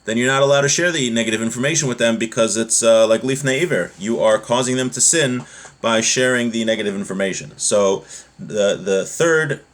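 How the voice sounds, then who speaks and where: A man's voice: medium pitch, fairly quick. Man teaching a religious class informally. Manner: didactic, repeats points, asks rhetorical questions. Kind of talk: informal lesson, reading text aloud and explaining it.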